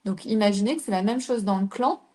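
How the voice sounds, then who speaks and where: Mellow-voiced woman coaching an audience. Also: medium pitch, a little fast. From southern France. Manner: explains with rhetorical questions to the audience. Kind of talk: live teaching talk.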